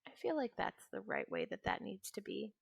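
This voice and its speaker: A woman's voice: medium pitch, huge pitch swings, moderate pace, creaky, breathy edge, no echo. A woman in her twenties chatting casually and ramblingly as she streams a video game, sometimes trailing off into mutters to herself. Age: 20s. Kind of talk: casual chat while playing a video game.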